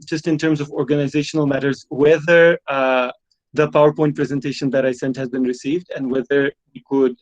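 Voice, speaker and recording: A man's voice: mid-range voice, darkish tone, muffled recording. A man who grew up speaking Russian gives an online academic lecture, hesitant, with many fillers and repeated words. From Belarus. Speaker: guest lecturer.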